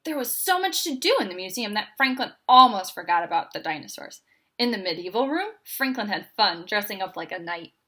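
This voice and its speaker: A woman's voice: medium pitch, sing-song, a little fast, sharp tone, slightly rough. A woman reading a children's picture book aloud as a storyteller.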